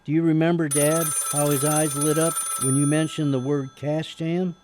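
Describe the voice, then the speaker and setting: A man's voice: fairly high pitch, flat delivery, normal speed, close to an everyday voice. A man playing a lawyer's grown son, lightly sardonic, in an amateur radio drama. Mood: wry. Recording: clearly noisy background.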